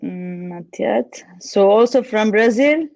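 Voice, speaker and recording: Slow-speaking woman, muffled call audio. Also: medium pitch, expressive, vocal fry. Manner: warm greetings, unhurried. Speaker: co-host reading out the chat. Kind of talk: online workshop, reading chat messages. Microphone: video call.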